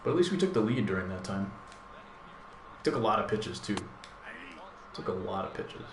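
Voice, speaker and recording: Deep-voiced man, echoey room, noisy background. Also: expressive, moderate pace. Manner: thinks aloud, laid-back, rambling with fillers. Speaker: gaming channel host. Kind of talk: casual live gameplay commentary.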